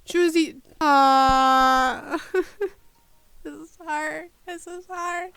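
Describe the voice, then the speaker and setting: High-pitched, halting woman reading aloud. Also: steady tone, vocal fry, fairly bright tone, dry recording. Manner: halting, self-correcting, muttering to herself and sounding out words. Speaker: podfic reader. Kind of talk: informal rehearsal of a read-aloud, checking pronunciations.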